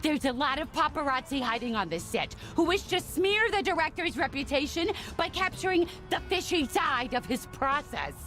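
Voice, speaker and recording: An actress playing a cool, sly cartoon video game character with a casual, sardonic manner. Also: female voice, sits high, big pitch swings, moderate pace, hardly any pauses, a bit bright, faint music behind. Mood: sly, coaxing. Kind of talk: animated video game cutscene acting.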